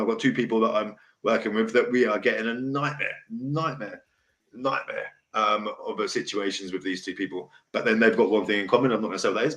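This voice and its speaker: A middle-aged man with a deep voice hosts a casual livestream talk, blunt, emotional and rambling. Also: a bit bright. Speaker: host. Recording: echoey room.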